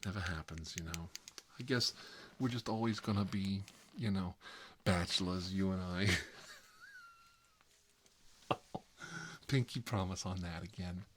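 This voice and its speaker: Guy doing a fussy bachelor uncle asmr bit, draggy slow confiding rambling, noisy background. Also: low-pitched, fairly bright tone. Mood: chatty, wistful. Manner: rambling, halting, confiding, prissy. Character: fussy, gossipy bachelor uncle living with his mother. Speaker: ASMR roleplay performer. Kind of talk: improvised comedic ASMR roleplay.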